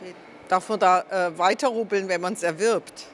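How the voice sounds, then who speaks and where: A woman's voice: lowish voice, fairly even intonation, moderate pace. Woman interviewer amid faint hall noise. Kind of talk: casual interview questions. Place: busy exhibition hall at an art fair.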